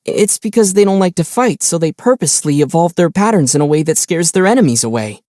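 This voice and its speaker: A man voicing a calm young traveler hero in a video game, measured and gently teasing. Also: a little high, dry recording, studio quality, natural voice. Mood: thoughtful. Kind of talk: video game character dialogue.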